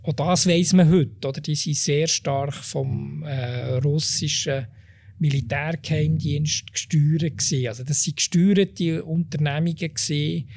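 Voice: medium pitch, steady tone, unhurried, vocal fry, a little mellow, dry recording. An expert guest with a Swiss accent answering interview questions, hesitant, with many fillers and restarts.

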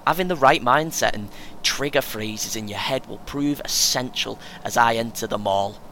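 An actor playing a chatty, self-important young British amateur runner in an audio play, earnest and keen, chuckling often. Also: male voice, normal speed, sharp tone. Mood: proud, boastful. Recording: faint vehicle noise behind. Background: vehicle rumble, coach ambience.

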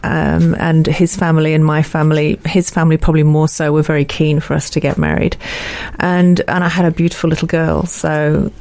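A woman's voice: low-pitched, fairly even intonation, a little fast, a little mellow. A middle-aged woman with an English accent, giving a conversational personal account as an interview guest. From born in Malaysia, lived in many countries.

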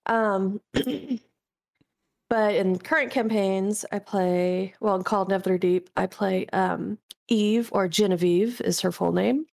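A woman with a cold, sniffly, talking casually and haltingly about her tabletop characters, clearing her throat often. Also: mid-range voice, a little mellow. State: sick, sniffly. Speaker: podcast guest.

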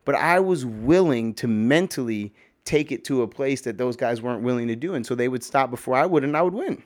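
Slightly hoarse man ranting casually. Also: mid-range voice, lively intonation, brisk. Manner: emphatic, rambling, swears, many fillers. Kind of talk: casual opinion monologue.

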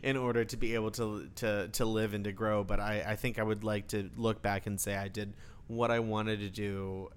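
A man's voice: on the low side, flat delivery, moderate pace, smooth. A man in his thirties talking casually as a guest, joking and restarting his sentences. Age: 30s. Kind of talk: casual conversation.

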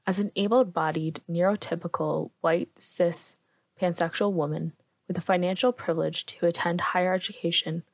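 A woman hosts a podcast interview. She reads a prepared introduction in a measured, formal way. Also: on the low side, no room sound. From Canada.

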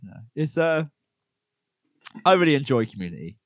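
A man's voice: on the high side, very animated, moderate pace, no room sound, studio quality. A young man co-hosting a podcast in casual chat, rambling and filling his talk with "like" and "you know". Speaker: podcast co-host.

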